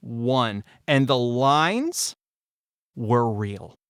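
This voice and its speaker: A man's voice: mid-range voice, animated, extremely slow, a bit bright, clear, resonant tone, dry recording, clean studio sound. A man in his forties telling behind-the-scenes stories in a candid, emphatic way, repeating phrases for stress. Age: 40s. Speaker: audio drama creator discussing the show behind the scenes. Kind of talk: animated conversational storytelling.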